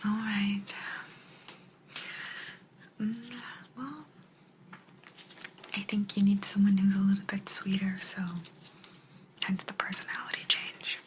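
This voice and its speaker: A woman playing a gentle, patient alien abductor in a soft, breathy ASMR whisper, confiding closely in the listener. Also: medium pitch, flat delivery, slow pace, pauses often, slight background noise, natural voice, poor audio. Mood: soft, coaxing. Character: gentle, apologetic female alien abductor explaining a simulation.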